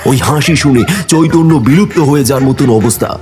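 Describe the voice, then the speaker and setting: A man's voice: mid-range voice, brisk, music in the background. A man narrating a ghost story in a suspenseful, dramatic storytelling manner. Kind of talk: scripted horror narration.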